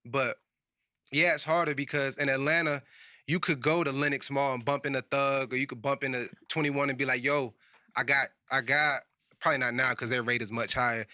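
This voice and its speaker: A man's voice: mid-range voice, steady tone, a little fast, bright, forceful tone, no room sound, clean studio sound. A young man from Phoenix, a guest rapper, talking bluntly and emphatically in a casual podcast chat. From Phoenix, Arizona. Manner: blunt, emphatic, swears freely.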